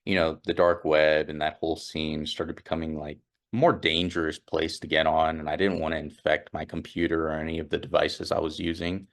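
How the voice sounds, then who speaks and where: A young man with a very low voice tells his story in a loose, offhand way. He rambles, stops and restarts, and fills his sentences with fillers. His voice has a slightly nerdy sound. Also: flat delivery, brisk. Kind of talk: casual first-person storytelling.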